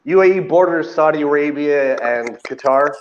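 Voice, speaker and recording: A man co-hosting a casual interview over a video call, halting and filling pauses with "uh" and restarts. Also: a little high. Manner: halting, many uh fillers and repeats. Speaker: co-host. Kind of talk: casual chat. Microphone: video call webcam. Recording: dull lo-fi call audio, faint hiss.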